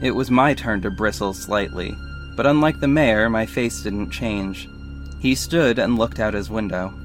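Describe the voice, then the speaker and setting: A man's voice: lowish voice, unhurried, faint music behind, close to an everyday voice. A man playing a guarded, wry agency owner who narrates an audio drama in the first person and speaks its dialogue. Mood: measured, wary.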